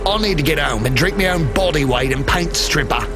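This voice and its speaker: Loud music plays under an actor as a foul-mouthed male delivery driver from a video game. He rambles in agitation like a committed conspiracy believer, his pitch leaping around wildly. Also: expressive, a little fast, close to an everyday voice. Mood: agitated, defensive. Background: loud music, vehicle noise.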